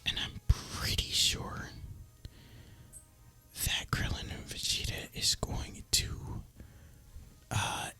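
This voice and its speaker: A young man softly whispering ASMR gameplay commentary in a breathy, casual, rambling way. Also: slow pace, long pauses, soft background music. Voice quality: soft breathy unvoiced whisper. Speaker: gaming ASMR YouTuber.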